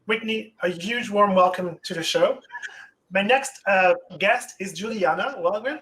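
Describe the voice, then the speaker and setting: A man hosting an online panel, introducing guests with a welcoming, slightly halting manner. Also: high-pitched, a bit bright. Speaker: host. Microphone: video call. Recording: noisy online call.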